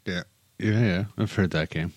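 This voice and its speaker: A man's voice: low voice, fairly even intonation, moderate pace, no echo. A man in a casual chat about teaching, with a laid-back, joking, rambling way of talking. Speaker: English teacher in Korea, talk show speaker.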